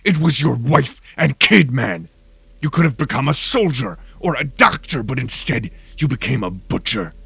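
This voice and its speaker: A man's voice: mid-range voice, very animated, moderate pace, vocal fry, bright, forceful tone, breathy edge, no echo, pitched above the performer's own voice, heavily acted. A man voicing a cold, vengeful supernatural detective in a horror audio drama, with a menacing, contemptuous manner. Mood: angry.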